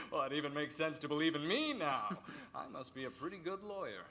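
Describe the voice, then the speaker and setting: Animated, good-humoured male lawyer. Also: on the high side, normal speed, slight background noise, heavily acted. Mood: amused, self-satisfied. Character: warm, good-humoured young lawyer, a family friend. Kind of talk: 1940s radio play acting on stage.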